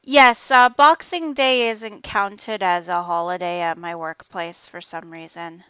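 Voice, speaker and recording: A woman's voice: fairly low pitch, steady tone, unhurried, fairly bright tone, clean tone, dry recording, highs cut off. A woman with an Ontario Canadian accent chatting casually while streaming a game. She laughs often and trails off mid-sentence.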